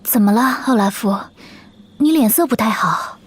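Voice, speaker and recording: A woman playing an anxious, caring village wife in an animated drama. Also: on the high side, moderate pace, breathy edge. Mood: concerned.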